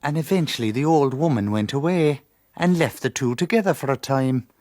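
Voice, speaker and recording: Man acting an Irish servant narrator, mid-pitched, wry, plain-spoken, homesick, storytelling, radio drama. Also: a little fast, a little mellow, close to an everyday voice. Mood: amused, relieved.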